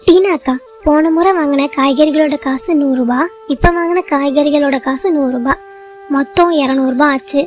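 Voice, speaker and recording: A woman's voice: sits high, normal speed, soft background music, natural voice. A woman voicing an honest female bird vegetable seller in a children's cartoon moral story, firm and indignant as she stands her ground.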